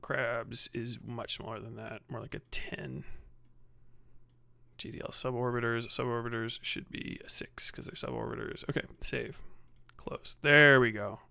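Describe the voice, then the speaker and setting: Streamer, male, casual profane commentary, mumbles asides; smooth voice, dead-dry room. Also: medium pitch, steady tone, a little slow, sharp tone, dry recording. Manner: profane, muttering to himself while working. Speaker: livestreamer.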